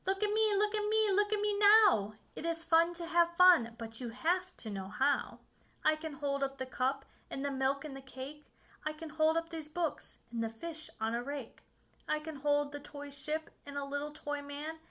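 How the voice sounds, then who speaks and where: A woman's voice: high voice, moderate pace, bright, forceful tone. A woman voicing a playful, boastful showman cat in a children's storybook, in a showy, coaxing, exclamatory way. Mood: excited, showing off. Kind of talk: storybook read-aloud.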